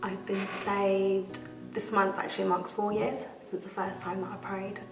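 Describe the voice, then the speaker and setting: A woman's voice: medium pitch, flat delivery, a little slow. A woman in her twenties giving a candid church testimony, laughing easily as she talks. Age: 20s. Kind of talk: testimony interview. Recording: echoey hall, mic far off, soft music.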